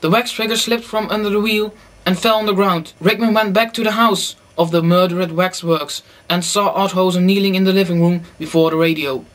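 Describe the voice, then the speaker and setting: A man with a high-pitched voice narrates a radio play. He reads scripted story passages in the third person, linking the dramatic scenes. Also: steady tone, a little fast, a bit bright.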